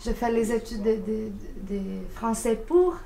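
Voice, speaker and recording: Brazilian woman in her twenties, slightly high. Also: animated, vocal fry, mellow, slight background noise. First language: Portuguese. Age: 20s. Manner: unhurried, searching for words with repeats. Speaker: interviewee. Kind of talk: casual interview at home.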